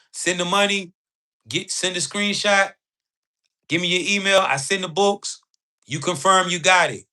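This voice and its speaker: A man giving an informal, emphatic livestream sales pitch to his chat, talking in slang with frequent tag words. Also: sharp tone. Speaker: live streamer selling spiritual ebooks.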